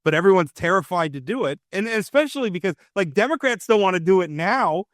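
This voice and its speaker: A man with a fairly high speaking voice talks politics in a casual, opinionated way, stumbling over restarts as he builds his point. The sound is dry and close, with no room echo. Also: animated, fast, a bit bright. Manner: opinionated, frequent restarts and stammered repeats. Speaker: radio talk show host.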